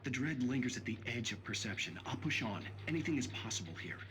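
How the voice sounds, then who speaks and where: An actor playing a brooding writer who gives solemn, dramatic narration in a video game. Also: male voice, mid-range voice, lively intonation, fast, noisy background, natural voice. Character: brooding male writer narrating his dark ordeal.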